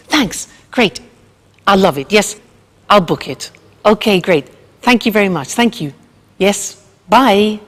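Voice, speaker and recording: A woman presenting an English lesson, speaking deliberately and emphatically and repeating key phrases. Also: mid-range voice, big-room sound. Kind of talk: slow instructional lesson to camera.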